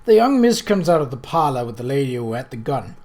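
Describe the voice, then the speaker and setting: A man's voice: medium pitch, very animated, a little mellow, close to an everyday voice. Man voicing a boastful male servant spinning his tale. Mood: relishing. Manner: patient, drawn-out storytelling.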